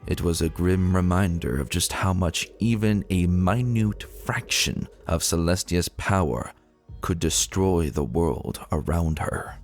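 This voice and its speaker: A man giving a dramatic, solemn and measured reading of a dark fantasy story. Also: sits low. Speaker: narrator reading fan fiction. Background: music.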